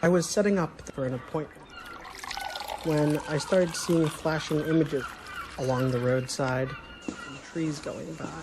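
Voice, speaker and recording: A man's voice: fairly high pitch, fairly even intonation, measured pace, background noise. A man voicing a grave mystical seer in a fantasy audio drama, speaking solemnly and courteously. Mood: solemn, mysterious.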